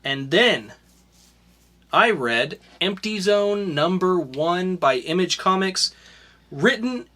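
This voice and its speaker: A man's voice: high voice, animated, takes it slowly, pushed and bright. A young man co-hosting a podcast and chatting casually about comics, rambling with lots of fillers and restarts. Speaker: podcast co-host reviewing comics. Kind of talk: casual chat.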